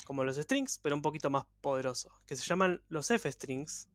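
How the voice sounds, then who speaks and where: A man teaches an online coding workshop, taking things step by step and patiently. His voice sits a bit high for a man. The sound is dry and close, as on a video call. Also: expressive, normal speed, no room sound. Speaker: programming instructor.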